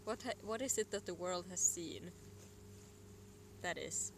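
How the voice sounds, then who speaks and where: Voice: medium pitch, a little slow. A young adult from Sweden with a Swedish accent, chatting casually and rambling on a solo stream at home, with muttered and whispered asides. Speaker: livestreamer. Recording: noisy room ambience.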